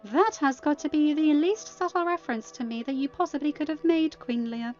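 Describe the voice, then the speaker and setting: A woman's voice: high-pitched, a little fast, darkish tone, highs cut off, pitched above the performer's own voice, lightly acted. A woman voicing a sardonic teenage villain's daughter, dry and sarcastic, in a story reading. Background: music.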